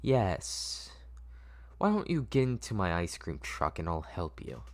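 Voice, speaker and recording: Man playing a sinister, coaxing, drawn-out doctor. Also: sits low, very animated, a little mellow, full character voice. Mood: manipulative. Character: sinister, falsely soothing male mad doctor, manipulative.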